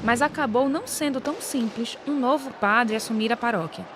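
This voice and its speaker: Higher-voiced Brazilian woman narrating over music. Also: a little fast. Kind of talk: scripted documentary narration. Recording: music behind the voice. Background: background music.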